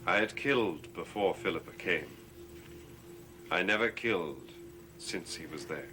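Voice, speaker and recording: Gothic soap lead: cursed master, stern, commanding, theatrical delivery, deeper register, prominent score underneath. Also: male voice, animated, a little slow, music in the background. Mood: troubled, resigned. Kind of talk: 1960s gothic soap opera acting.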